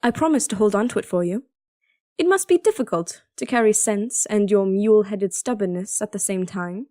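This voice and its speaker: An actress playing a devoted, strong-willed young princess in an audio drama, speaking and narrating in a measured, earnest, solemn way. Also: female voice, medium pitch, mellow, no room sound, clean studio sound, natural voice. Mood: gentle, firm. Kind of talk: audio drama acting with first-person narration.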